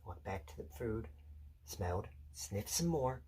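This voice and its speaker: A man acting out a theatrical monologue as an intense, unsettled loner, confiding and deliberate, pausing and correcting himself. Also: fairly low pitch, lively intonation, heavy background noise. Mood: hushed, suspenseful. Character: intense, unsettled male loner telling a rambling story.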